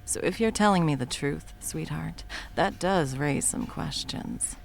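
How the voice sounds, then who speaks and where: Woman doing a deep-voiced villain lady in her 30s, husky fry, cussing a lot then going soft, audio roleplay. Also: measured pace, slight background noise. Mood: indignant. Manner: profane, blunt, mocking that turns to coaxing endearments. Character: sharp-tongued woman supervillain, long-time arch-enemy.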